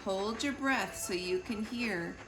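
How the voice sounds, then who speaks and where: A woman reading a children's picture book aloud in an expressive storytime style, with deliberate, playful emphasis on the rhyming words. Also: mid-range voice. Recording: roomy echo with music behind. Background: music.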